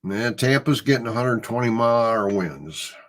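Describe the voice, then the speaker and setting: A man's voice: medium pitch, lively intonation, normal speed, a bit bright. A middle-aged man with a Midwestern accent, hosting a casual live chat and telling stories in a rambling, easygoing way. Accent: Midwestern American. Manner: easygoing, rambling storyteller, chuckles often. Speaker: host.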